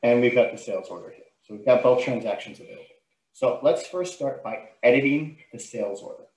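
A man giving an informal, step-by-step software tutorial as a trainer, explaining what he does on screen. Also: medium pitch, fairly quick. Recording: roomy, echoing sound.

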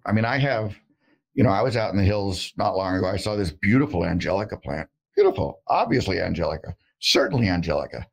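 A man's voice: low voice, gravelly, husky. A middle-aged man, an herbalist guest on a video call, talking in a folksy, joking, rambling way with many fillers. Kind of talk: casual interview talk. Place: indoor room with shelves of jars.

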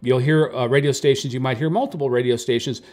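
A middle-aged or older man hosting a hobby video, explaining casually off the cuff in a halting, tentative way with frequent self-corrections. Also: mid-range voice, fairly even intonation, darkish tone. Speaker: video host, radio hobbyist. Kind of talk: casual product talk, unscripted explaining.

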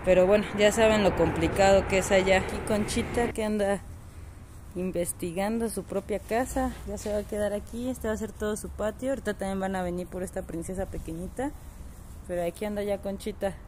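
A woman's voice: medium pitch, steady tone, fairly quick, darkish tone, slight background noise. A woman, chatting to viewers from an outdoor patio on a phone camera. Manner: chatty and affectionate, talks to viewers. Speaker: animal rescue vlogger. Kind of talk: casual narration on camera.

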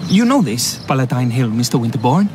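An actor playing a polished, courteous young Italian gentleman in a radio drama. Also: mid-range voice, big pitch swings, fairly quick, slight background noise, lightly acted. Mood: smooth, courteous. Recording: music under the dialogue.

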